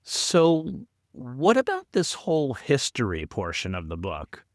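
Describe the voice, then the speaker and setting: A male podcast co-host in casual conversation, with an animated, swinging delivery and a teasing streak. His mid-pitched voice is recorded close and dry. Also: measured pace, no room sound. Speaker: podcast co-host and audio producer.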